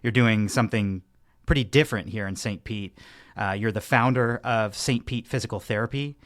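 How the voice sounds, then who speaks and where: Man, podcast host, enthusiastic, rambling, lower pitch, dry studio sound. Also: moderate pace, dry recording. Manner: enthusiastic, rambling with fillers and restarts. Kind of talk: casual interview hosting.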